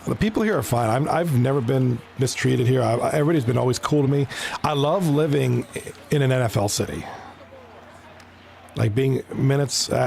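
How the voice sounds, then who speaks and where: A man's voice: medium pitch, vocal fry, darkish tone, slight background noise. A man from Toronto giving casual live commentary on an esports hockey league, chatting with his viewers between plays. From Canada (Toronto).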